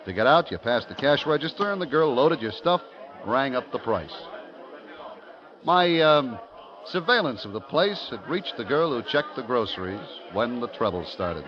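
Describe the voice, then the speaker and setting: A man's voice: mid-range voice, expressive, moderate pace, natural voice. An actor playing a restless young American store clerk, telling his story in colloquial 1950s radio drama style. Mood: hushed, entranced. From eastern United States, New York. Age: young adult. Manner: colloquial, slangy, confiding. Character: restless young male liquor clerk, smitten, streetwise narrator. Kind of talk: 1950s radio drama acting, first-person narration. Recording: muffled 1950s radio recording.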